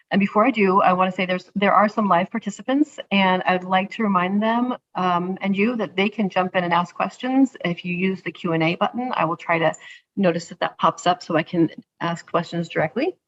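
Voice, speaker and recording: A woman's voice: fairly low pitch, muffled recording. A woman hosting an online interview webinar, friendly and casual, with frequent fillers, over a video call. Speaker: host.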